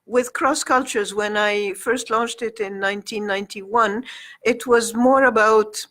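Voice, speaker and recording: Middle-aged woman, guest, from Egypt, Arabic mother tongue, halting and hesitant delivery. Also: fairly high pitch, fairly even intonation, a bit bright. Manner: hesitant, many ums and restarts. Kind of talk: casual interview chat.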